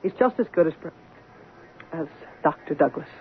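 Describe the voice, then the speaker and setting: An actress playing an anxious, guilt-ridden doctor, earnest and emotional in an old-time radio drama style. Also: female voice, low voice, expressive. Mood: controlled, explaining. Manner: earnest, emotional, halting when distressed. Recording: tinny, hissy old radio broadcast.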